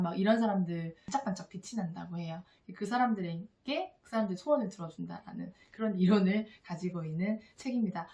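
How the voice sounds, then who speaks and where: A woman giving a casual, earnest and encouraging spoken book review to viewers as a vlogger. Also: medium pitch. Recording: echoey room.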